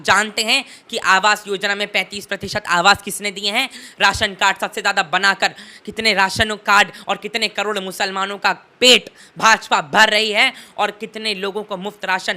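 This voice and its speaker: A man's voice: high voice, pushed and bright. A teenage young man giving impassioned political commentary in an interview, emphatic and oratorical, leaning on rhetorical repetition.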